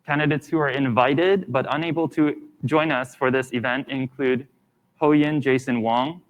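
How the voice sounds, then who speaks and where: A man's voice: mid-range voice, steady tone, normal speed, low-quality recording. A man co-hosting a live candidate event, friendly and conversational as he reads out names and welcomes people. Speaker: event co-host.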